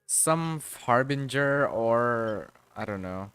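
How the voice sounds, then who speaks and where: A man's voice: mid-range voice, animated, takes it slowly, fairly bright tone, clear, resonant tone, faint music behind. A man livestreaming a game with casual, blunt, sweary, laid-back commentary.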